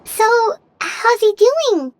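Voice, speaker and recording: An actress voicing a tiny childlike fairy companion in a video game. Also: female voice, very high-pitched, very animated, extremely slow, no echo, full character voice. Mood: concerned.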